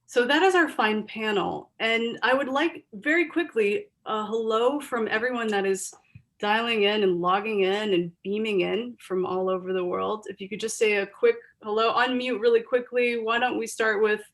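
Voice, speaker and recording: A woman's voice: on the high side, normal speed, fairly bright tone. A woman moderating an online literary panel over a video call. She gives earnest, gushing introductions full of ums.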